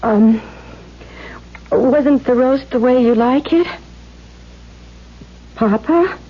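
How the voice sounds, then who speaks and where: Casting: timid, anxious young woman, dutiful daughter, high voice, faltering delivery; vintage radio drama, muffled sound. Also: very slow, exaggerated voice. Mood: frightened, faltering. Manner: hesitant, stammering, anxious. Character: timid, beaten-down younger sister and dutiful daughter, around thirty. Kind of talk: 1970s radio drama acting. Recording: dull vintage radio recording.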